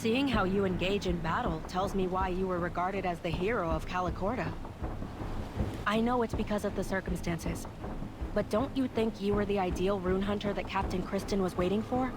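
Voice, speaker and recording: A woman's voice: medium pitch, moderate pace, lightly acted. A woman playing a composed, dutiful ally in a fantasy video game cutscene. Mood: admiring. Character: composed, dutiful female rune hunter ally. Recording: very loud music behind.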